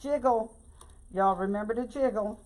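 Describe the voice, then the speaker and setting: An older woman with a hoarse voice and a Louisiana Southern accent, chatting folksily through a bow-making tutorial. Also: fairly high pitch, moderate pace, a little mellow, rough recording. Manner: chatty and folksy, keeps saying y'all. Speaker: craft tutorial host. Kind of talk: casual bow-making demonstration.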